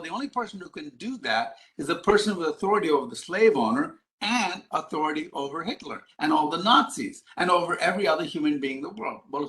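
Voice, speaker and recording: Bright-voiced man giving a live talk. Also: on the high side, low-quality recording. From Ghana, Middle East and East Africa. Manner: rhetorical questions, explanatory, emphatic. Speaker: public speaker, advocacy group president. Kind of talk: live lecture to an audience.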